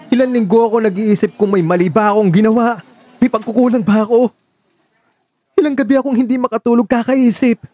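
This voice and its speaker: A man's voice: extremely high pitch, dark tone, voice pushed up. A man playing a heartbroken, insistent young lover in an emotional radio drama, pleading for the truth. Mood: upset, confronting. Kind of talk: Tagalog radio drama acting.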